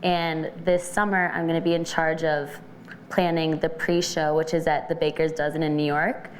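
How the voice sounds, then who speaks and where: Chatty young woman with a low voice. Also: level tone, normal speed, a little hiss or hum. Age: 20s. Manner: upbeat, chatty, says "basically" and "like". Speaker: guest. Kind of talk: casual studio interview. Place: TV studio.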